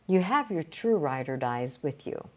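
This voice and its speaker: Slow, expressive low-voiced woman reading aloud. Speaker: audiobook reader. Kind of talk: scripted narration, reading a motivational self-help book aloud.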